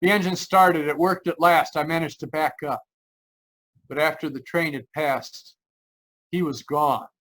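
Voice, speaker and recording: A man's voice: fairly high pitch, steady tone, unhurried, fairly bright tone. An actor playing a haunted, anxious driver narrating his road trip in old-time radio style, tense and earnest. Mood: shaken. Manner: tense, earnest, dramatic storytelling. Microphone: video call. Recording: live video-call performance.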